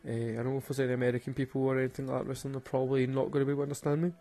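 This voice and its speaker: A man's voice: medium pitch, flat delivery, racing, warm tone. A man with a Glaswegian Scottish accent, rambling and swearing through casual stories and laughing at his own jokes. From Glasgow, Scotland. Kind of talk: casual storytelling monologue.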